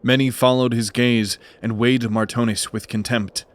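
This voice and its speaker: Male narrator, medium pitch, measured dramatic storytelling for a scripted fantasy audio drama. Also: fairly even intonation.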